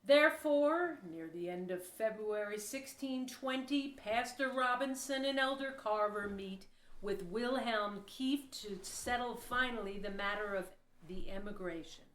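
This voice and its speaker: A woman's voice: mid-range voice, unhurried, a bit bright. Woman narrator in an echoey room. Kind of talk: scripted historical narration for audio play. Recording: roomy, echoing sound.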